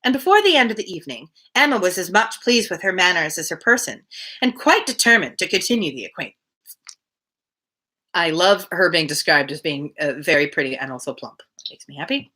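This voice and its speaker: A woman reading a novel aloud on a livestream in an expressive storytelling way, with casual asides. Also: fairly low pitch, brisk. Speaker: reader hosting a daily livestream.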